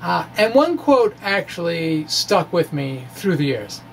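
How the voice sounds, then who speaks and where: A man giving an informal, halting, wry reading of his physics blog, often pausing and amused at his own asides. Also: on the high side, big pitch swings. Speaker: amateur physics blogger. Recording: noisy room recording.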